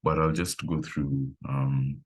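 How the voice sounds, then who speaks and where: A man with a very deep voice leading a live online coding lesson, thinking aloud with pauses and fillers. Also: flat delivery, husky. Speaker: coding instructor.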